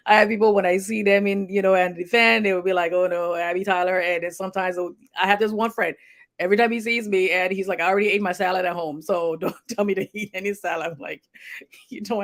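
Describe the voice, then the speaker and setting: Fast-talking woman with a Liberian accent. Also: lowish voice. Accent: Liberian English. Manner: rambling, chatty, repeats herself, addresses viewers directly. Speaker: healthcare provider hosting a health page. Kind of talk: casual anniversary livestream talk.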